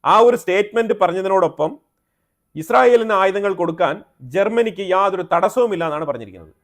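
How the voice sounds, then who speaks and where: A man's voice: high-pitched, expressive, fast. A man with a Malayali accent presenting explanatory news commentary, often rephrasing himself and mixing in English terms.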